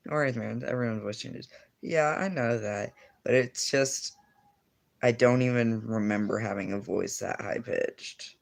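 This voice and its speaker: A man's voice: medium pitch, a little slow, gravelly. A teenage boy in casual livestream chat, answering viewers and rambling with lots of fillers and self-corrections. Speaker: streamer.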